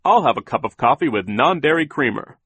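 A man's voice: mid-range voice, animated, a little fast, fairly bright tone, slightly rough, no echo, voice pushed up. A man voicing a fussy, earnest banker who explains things formally, in an audiobook story. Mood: polite, reproving. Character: proper, fussy male banker, earnest and oblivious.

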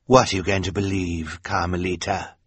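Sinister storybook villain performed by a male reader: sly, falsely sweet, coaxing, low and husky. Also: little pitch movement, normal speed, fairly bright tone, no room sound.

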